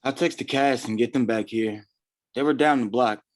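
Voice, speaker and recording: A man acting a concerned, helpful relative in a stage drama reading. He is plainspoken, with a medium-pitched voice. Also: moderate pace, natural voice.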